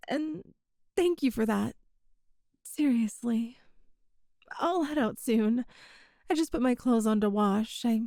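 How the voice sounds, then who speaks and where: A woman voicing a shy, hesitant young catgirl thief in an ASMR roleplay, speaking softly with stammers and little meows, close to the mic. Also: high voice, no room sound. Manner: soft, hesitant, stammering, meows between phrases. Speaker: voice actor.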